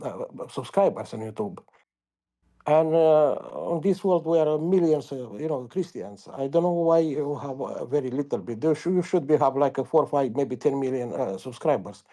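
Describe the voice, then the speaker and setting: A man from Romania with a Romanian accent, calling in to a live show and asking his questions haltingly, with many ums and restarts. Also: a little high, warm tone. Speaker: caller. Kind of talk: call-in question.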